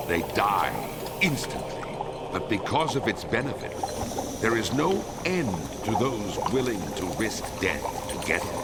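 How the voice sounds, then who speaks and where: An actor playing a grave feudal lord in a video game cutscene, speaking in a measured, theatrical way with dramatic pauses. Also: male voice, lowish voice, heavy background noise. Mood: intense, explanatory. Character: grave, theatrical feudal lord, ruler of plague-struck lands. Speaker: lord explaining a quest.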